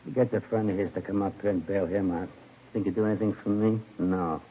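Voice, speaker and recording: An actor playing a hungover American laborer in a 1950s radio crime drama, slangy, aggrieved and rambling. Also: male voice, sits low, a little fast, breathy edge, phone-quality sound. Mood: disgruntled, groggy. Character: grumbling, hungover male laborer in jail. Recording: thin, faintly noisy vintage radio recording.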